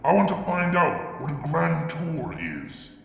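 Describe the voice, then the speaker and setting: A man reading a fan fiction story aloud, in a measured, expressive way. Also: fairly high pitch, vocal fry. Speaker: fanfic reader. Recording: echoey room with music. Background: music.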